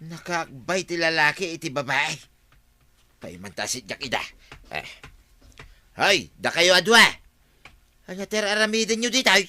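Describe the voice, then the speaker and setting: A man's voice: a little high, big pitch swings, a little slow, long pauses, pushed and bright, heavily acted. A man playing a meddling, scolding older village official in a comedy drama. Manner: scolding, blustery.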